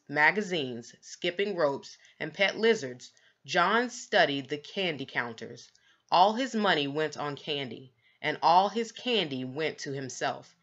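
A woman reads a children's story aloud, leaning deliberately on key words. Her voice is fairly low, bright and lively in its rise and fall. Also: muffled recording. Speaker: children's book narrator. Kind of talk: expressive read-aloud storytelling.

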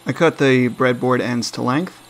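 A man's voice: medium pitch, moderate pace, slight background noise. A man narrating a woodworking build step by step in a plain, matter-of-fact voice-over. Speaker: woodworking channel host.

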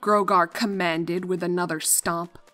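A woman's voice: lowish voice, fairly even intonation, a little slow, bright, forceful tone. A woman reading scripted fiction as the narrator of a story. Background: background music.

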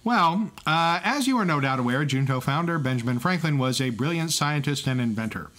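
A male podcast co-host speaks at a middle pitch. His delivery is scripted but conversational, with a playful, wry touch. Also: expressive, fairly quick, fairly bright tone. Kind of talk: semi-scripted banter and exposition.

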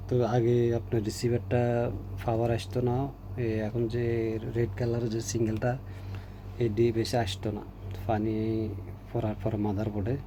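A man with a Bangladeshi Bengali accent gives an informal, halting repair demonstration as a technician, often repeating phrases. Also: mid-range voice, dark tone. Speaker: repair technician presenting a tutorial. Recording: noticeable background hum and noise.

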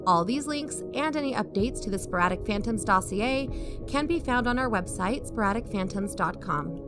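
A woman playing an excitable amateur investigator who hosts a podcast, in a scripted audio drama. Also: medium pitch, normal speed, over music, natural voice. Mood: upbeat, informative. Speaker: podcast host.

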